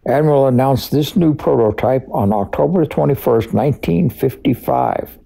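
Man, very gravelly, husky, dark tone, scripted conversational history narration, collector expert. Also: mid-range voice, normal speed, poor audio. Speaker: radio collector and expert guest.

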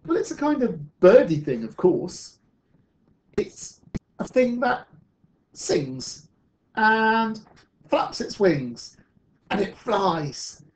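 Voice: mid-range voice, sing-song, drawn-out words, long pauses, pitched above the performer's own voice. A storyteller voicing a confident, imaginative young girl in a storybook read aloud. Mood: thoughtful invention.

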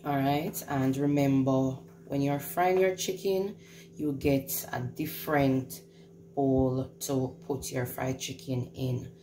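Slow, very low-pitched woman explaining cooking. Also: steady tone, dark tone, echoey room. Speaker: home cook hosting a recipe video. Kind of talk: casual cooking demonstration. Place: indoors in a home kitchen. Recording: clearly noisy home recording.

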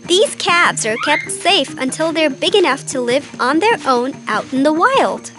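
Casting: cheerful cartoon girl, very high, lively pitch, kind and adventurous. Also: a little slow, music in the background. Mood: explaining. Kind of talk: children's cartoon acting.

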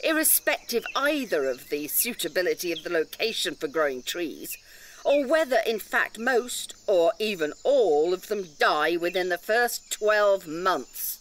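A woman's voice: on the low side, sing-song, measured pace. A woman playing an indignant nature-reserve campaigner in an audio drama, lecturing emphatically with pedantic detail and rhetorical questions. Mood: scornful.

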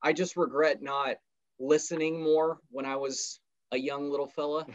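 A man's voice: fairly high pitch, steady tone, a little slow, lo-fi sound. A man co-hosting a podcast, laid-back and slangy, talking enthusiastically. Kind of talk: casual interview conversation.

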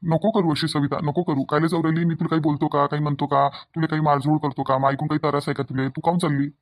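A man's voice: fairly high pitch, level tone, fast. A man with a Varhadi Marathi accent playing a conciliatory grown family man in a cartoon drama, coaxing and reasoning with repeated phrases. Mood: pleading.

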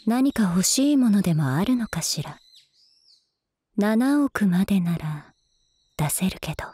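Cool older schoolgirl, slow unhurried delivery, mellow dark tone, soft deadpan, ASMR drama, close binaural mic. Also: medium pitch, husky. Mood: calm, teasing. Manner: deadpan, soft-spoken, unhurried, occasionally whispery. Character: cool, devoted, eerily calm dark-haired female senior student. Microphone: close binaural microphone.

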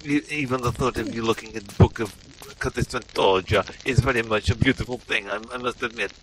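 A man voicing a prim, bewildered male game streamer who stammers in halting broken English. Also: low voice, bright, forceful tone, slight background noise, low-quality recording. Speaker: gameplay host. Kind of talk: improvised comedy gameplay commentary.

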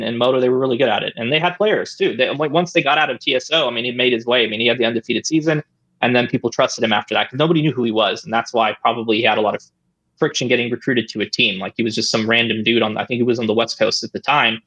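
A man in his thirties, an interview guest, telling old stories in a relaxed, rambling way, on a boom mic at home. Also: husky, dull audio. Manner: relaxed, rambling with many "I mean" and "right?". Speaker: interview guest, former esports player. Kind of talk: casual storytelling. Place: home room, indoors. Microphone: studio mic on boom arm, headset.

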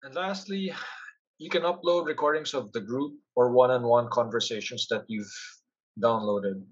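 Husky man narrating a software tutorial. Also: mid-range voice, normal speed, highs cut off. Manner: step-by-step explanatory. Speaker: software demo presenter. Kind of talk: instructional screen-share narration.

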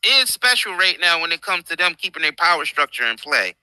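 High, very bright American man, emphatic. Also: sing-song, brisk, clear, resonant tone, dry recording. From United States. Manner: emphatic, repetitive, informal slang. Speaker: show host. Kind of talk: impassioned livestream commentary. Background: faint background music.

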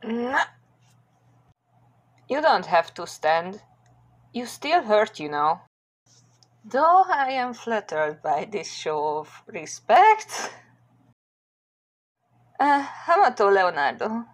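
A woman voicing characters in an amateur comic dub, soft and unhurried. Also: mid-range voice, sing-song.

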